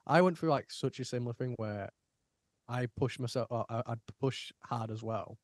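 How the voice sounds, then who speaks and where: Lively man recounting stories. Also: mid-range voice, normal speed, no echo, studio quality. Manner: animated storytelling, acts out his own past remarks. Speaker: podcast host. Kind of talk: casual chat.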